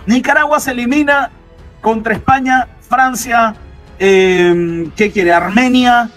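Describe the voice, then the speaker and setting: A man with a high voice argues soccer on a radio sports show. He is insistent and keeps pressing his co-host with rhetorical questions. Faint music plays under the broadcast. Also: slow, fairly bright tone. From Central America. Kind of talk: heated sports radio debate.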